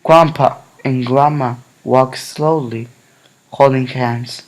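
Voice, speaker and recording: A man reading a children's picture book aloud in a solemn, deliberate way, with dramatic pauses. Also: medium pitch, fairly even intonation, rough recording. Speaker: storybook reader.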